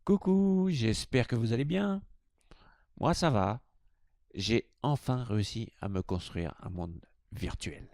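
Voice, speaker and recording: A man's voice: medium pitch, animated, slow, a little mellow, dry recording, studio quality. A man hosting a spirituality video, speaking to viewers as a presenter. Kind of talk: informal video greeting, then scripted explanatory narration.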